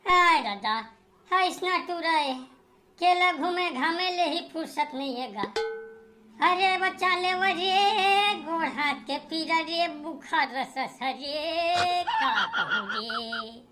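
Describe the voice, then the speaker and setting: A woman voicing a whining, sick village husband in a comedy cartoon, groaning and complaining in drawn-out phrases with a Chhattisgarhi accent. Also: very high-pitched, over music. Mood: whining, pleading.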